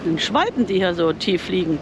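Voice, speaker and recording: A woman's voice: lowish voice, expressive, normal speed, noisy background. A woman chatting casually about the lock and the harbor while out on a boat. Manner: chatty, comments with "ne?". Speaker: boat vlogger. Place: outdoors on a boat.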